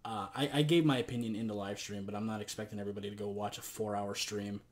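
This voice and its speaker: A hoarse young man giving casual football commentary into a webcam in a small bedroom, talking in a loose, rambling way with many fillers. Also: fairly low pitch, fairly even intonation, fast. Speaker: sports vlogger.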